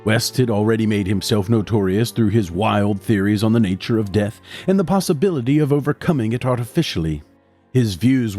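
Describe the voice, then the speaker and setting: Actor as articulate young medical student, dry recording. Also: male voice, fairly low pitch, a little mellow, dry recording. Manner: formal, measured, articulate. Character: educated young male medical student, wary loyal accomplice. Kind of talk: audio drama acting and first-person narration.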